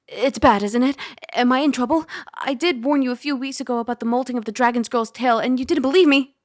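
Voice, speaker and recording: A woman playing a caring, teasing young dragon girl roommate in a soft-spoken ASMR roleplay, gentle and conversational on a close mic. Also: fairly high pitch, no echo, lightly acted. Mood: teasing, puzzled. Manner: gentle, playful, conversational, with sighs and hushed asides. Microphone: close microphone.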